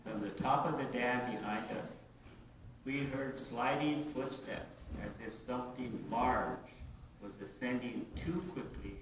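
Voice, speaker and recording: A man from the United States, a writer reading his own short story aloud in a halting, informal way. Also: mid-range voice. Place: large echoey indoor room at a live reading. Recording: very echoey room, distant dull mic, hiss.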